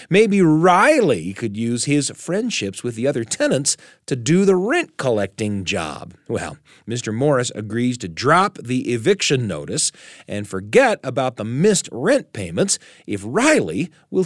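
A man's voice: medium pitch, huge pitch swings, a little slow, dry recording, studio quality. A man hosting a show, narrating a story in a dramatic manner with rhetorical questions. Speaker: host.

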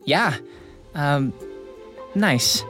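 A woman's voice: sits low, lively intonation, over loud music, full character voice. A woman playing a flustered, hesitant young teenager in an audio drama. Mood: resigned, awkward. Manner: halting, hesitant. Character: flustered young teenager Nico, attached to a pet dragon.

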